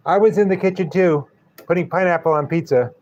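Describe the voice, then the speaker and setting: A man with a Brooklyn accent chats casually with viewers on a live stream, high-pitched and joking. He rambles from topic to topic. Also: brisk, a little mellow, lo-fi sound. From New York.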